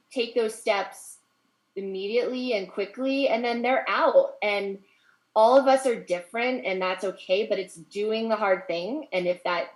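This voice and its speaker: A woman's voice: mid-range voice, echoey room, poor audio. A middle-aged woman in a casual group chat, speaking candidly and reflectively and laughing easily as she tells her stories.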